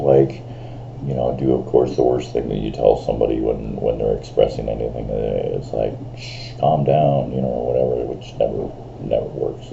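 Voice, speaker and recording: A man in his fifties rambling through a casual vlog, full of fillers and restarts, with a voice he calls big and loud. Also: rumbling low, gravelly, dull audio, slight background noise. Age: 50s. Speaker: vlogger. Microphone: camera on a screen in front of him.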